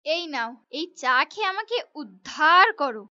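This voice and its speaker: A woman voicing a greedy, scheming village housewife in an animated fairy tale, nagging and whiny. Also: high voice, unhurried, muffled recording, a little hiss or hum, exaggerated voice.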